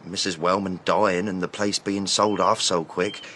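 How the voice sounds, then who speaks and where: A man's voice: low voice, little pitch movement, measured pace, pushed and bright, slight background noise. A man playing a young, respectful gardener with rustic, deferential phrasing in a British radio drama. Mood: grateful, regretful. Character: eager, respectful young male country gardener.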